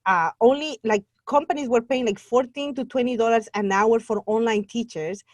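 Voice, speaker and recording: A woman's voice: a little high, normal speed, no echo. A middle-aged woman from Guatemala with a Spanish accent, a native Spanish speaker, telling her story as an interview guest in an earnest, talkative way. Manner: earnest, talkative, laughs easily. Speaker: guest, online Spanish teacher.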